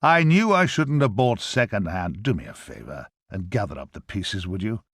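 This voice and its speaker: A man's voice: lowish voice, big pitch swings, normal speed, fairly bright tone, husky, dry recording, studio quality, exaggerated voice. An actor playing a chatty, hapless ferryman to the dead in a video game, with a wry, rambling, grumbling manner. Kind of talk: video game cutscene acting.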